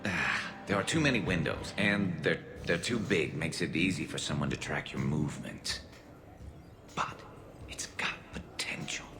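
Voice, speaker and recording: A man's voice: a bass voice, expressive, a little slow, over music, heavily acted. A man playing a wry, battle-hardened alien soldier in a video game, musing dryly like a tactician. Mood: musing, wary. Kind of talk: video game character acting.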